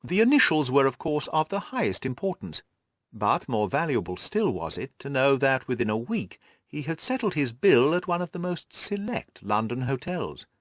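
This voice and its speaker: Audiobook gentleman detective, played by a male reader: assured, methodical, very animated pitch movement, close dry sound. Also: normal speed, no room sound. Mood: analytical, assured. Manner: precise, methodical, didactic explaining. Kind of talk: audiobook character dialogue.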